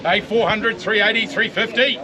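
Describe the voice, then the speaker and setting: High-voiced New Zealand auctioneer chanting bids. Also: male voice, steady tone. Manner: rapid repetitive chanting of bids, coaxing the buyers. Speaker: livestock auctioneer. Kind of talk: auction bid calling. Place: outdoor livestock saleyard. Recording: loud crowd and livestock noise at an outdoor sale.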